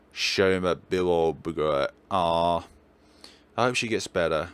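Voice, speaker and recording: A man hosting a let's play, chatting through a visual novel in an animated way. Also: low voice, takes it slowly, clear, resonant tone.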